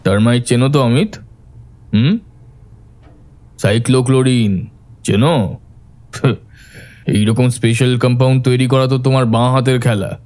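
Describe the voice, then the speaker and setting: A man playing a calm, astute detective mentor in a cartoon, explaining things in a measured, deliberate way. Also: medium pitch, close to an everyday voice. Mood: assured, analytical. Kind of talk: Bengali cartoon detective dialogue.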